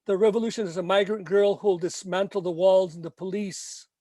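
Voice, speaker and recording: A man reading his own protest poem in a slow, emphatic, chanting way, after brief spoken remarks with some hesitation. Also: high voice, steady tone, dark tone, no echo. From Mexico. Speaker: poet. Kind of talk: poetry reading.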